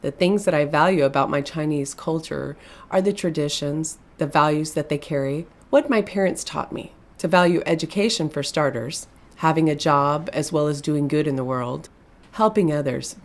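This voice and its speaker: A woman teacher speaking sincerely and calmly about her values and work. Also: low voice. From United States. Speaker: teacher and tutor. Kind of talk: interview.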